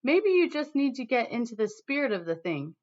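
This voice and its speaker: A woman's voice: on the high side, sing-song, a little fast. A woman voicing an encouraging adult uncle who urges and advises in a storybook. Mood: encouraging, cautioning. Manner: urging, advising. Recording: dull, lo-fi sound.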